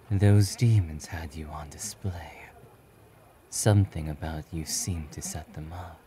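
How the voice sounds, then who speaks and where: Man acting a reserved young demon slayer, very slow, mellow, soft and breathy, aloof yet kind, ASMR roleplay. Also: sits low, slight background noise. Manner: aloof, terse, gently reassuring. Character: stoic, reserved young male demon-slaying hero, quietly kind.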